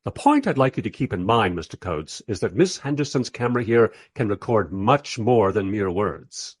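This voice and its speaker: Man playing a wry, husky film director. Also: on the low side, very animated, normal speed, dry recording, studio quality. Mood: placating. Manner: conversational, dryly sardonic, diplomatic. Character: wry, long-suffering male documentary director, placating and sardonic. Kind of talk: first-person radio play narration and dialogue.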